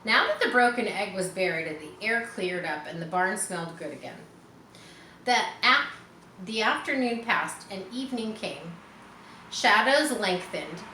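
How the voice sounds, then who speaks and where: A woman reads a children's book aloud, expressive and informal. Her voice is bright and clear-cut at a middling pitch. The room echoes a little and there is faint noise behind her. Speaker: storybook reader. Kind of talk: children's read-aloud with casual asides. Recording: roomy echo, faint background noise.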